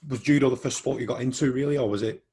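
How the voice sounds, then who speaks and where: A man's voice: medium pitch, very fast, warm tone. A man hosting a podcast, friendly and encouraging, asking a guest about his background. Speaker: podcast host. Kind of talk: casual podcast interview.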